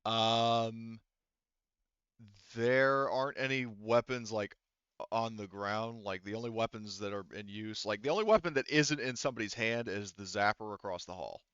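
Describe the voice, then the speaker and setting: Low, clear-voiced male game master. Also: moderate pace, fairly bright tone, no echo, muffled recording. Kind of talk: casual tabletop game narration.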